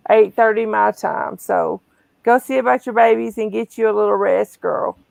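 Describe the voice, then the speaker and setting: A woman's voice: mid-range voice, moderate pace, gravelly, darkish tone, no echo. A woman hosting a casual livestream, chatty and often restarting her phrases. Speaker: livestream host.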